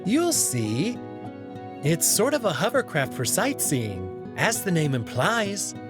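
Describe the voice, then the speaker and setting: A man voicing a shy, polite, deferential male animal servant in a visual novel, gentle and hesitant. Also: fairly high pitch, wildly swooping pitch, slow pace, music in the background, voice pushed up, heavily acted. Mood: polite, coy.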